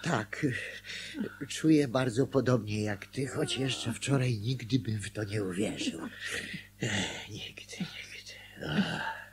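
Radio play husband: ashamed and troubled, unhurried, hesitant, some hum and noise on the recording. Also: male voice, mid-range voice. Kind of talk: radio drama acting.

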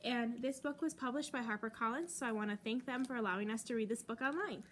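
A young American woman reading a picture book aloud to children as a storytime host, with deliberate, dramatic emphasis on key words. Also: fairly high pitch, fairly bright tone, a little hiss or hum. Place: library children's room, indoors.

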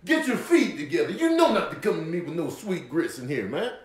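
A man's voice: on the high side, huge pitch swings, a little fast, reverberant, voice pushed up. A man voicing a chummy buddy in a comedy voiceover, slangy and full of mock outrage. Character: chummy man bickering with a friend over grits.

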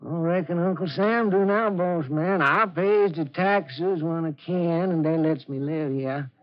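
An actor playing a deferential, folksy old farmhand with a Southern US accent, in 1940s radio style. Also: male voice, a little high, a little slow, lightly acted. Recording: dull 1940s radio recording.

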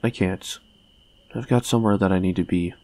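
A man's voice: low-pitched, a little fast, darkish tone, slight background noise, natural voice. A young man voicing an anxious, earnest young man in a comic dub, hesitant and doubtful in dramatic dialogue. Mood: guarded. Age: young adult. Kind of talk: dramatic dialogue and inner narration. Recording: music behind the voice.

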